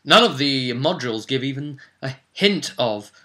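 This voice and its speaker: A man's voice: mid-range voice, animated, normal speed, sharp tone. A man presenting a tabletop role-playing video as an enthusiastic explainer, emphatic and chatty, with rhetorical asides to viewers.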